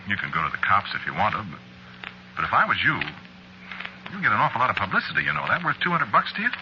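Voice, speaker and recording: An actor playing a shifty working-class American store clerk and cool killer, narrating in a folksy, slangy, confiding way in 1940s radio suspense style. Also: male voice, fairly low pitch, very animated, fast. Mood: coolly dismissive. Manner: folksy, slangy, offhand storytelling. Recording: dull, noisy vintage radio recording.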